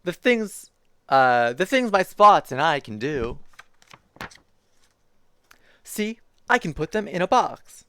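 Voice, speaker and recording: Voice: medium pitch, sing-song, slow, long pauses, sharp tone, voice pushed up, exaggerated voice. A streamer reading a bedtime storybook aloud, giving the spotted creature a slightly dopey voice and speaking his lines in a singsong, rhyming way. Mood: playful.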